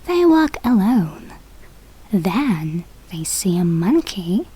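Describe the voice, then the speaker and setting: A woman who is a non-native English speaker reads a children's fairy tale aloud, carefully and deliberately, with a breathy, husky voice. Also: mid-range voice, very animated, dark tone. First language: not English. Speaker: storybook reader for English learners.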